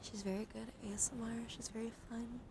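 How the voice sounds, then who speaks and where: A woman livestreaming ASMR, chatting softly and soothingly to her viewers with affection, drifting between whispers and gentle speech. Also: on the high side, level tone, fast talker, background noise. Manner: affectionate toward listeners. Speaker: live streamer hosting ASMR. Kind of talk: ASMR, soft intimate chat with live viewers.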